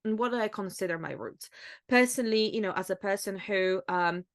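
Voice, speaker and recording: A woman's voice: medium pitch, brisk. A woman from Poland with a Polish accent, co-hosting a podcast in a casual, reflective conversational style. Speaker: astrology podcast co-host.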